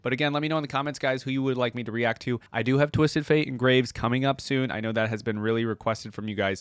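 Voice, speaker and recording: A man's voice: lowish voice, no room sound. A man giving casual, informal reaction commentary on a video game, talking directly to viewers.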